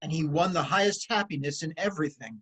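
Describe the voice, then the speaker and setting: Husky, solemn chorus elder played by a woman. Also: low-pitched, fast. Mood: reverent. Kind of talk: solemn theatrical verse reading.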